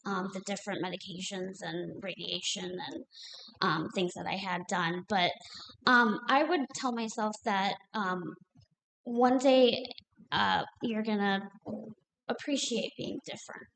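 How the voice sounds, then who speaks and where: A woman's voice: medium pitch, a little slow, vocal fry, fairly bright tone. A young adult woman, a memoir author, answers a panel question at a library event, hesitant, with many ums and restarts. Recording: echoey room, faint noise.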